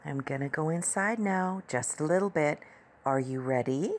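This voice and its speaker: A man's voice: fairly high pitch, moderate pace, slight background noise, pitched above the performer's own voice, natural voice. A man voicing a teasing, dominant adult woman in an audio story, coaxing and confident. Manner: coaxing pet names, teasing commands.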